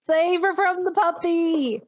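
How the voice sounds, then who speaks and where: High-voiced woman in a tabletop game. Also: slow pace, no echo, muffled recording. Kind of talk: casual game chat.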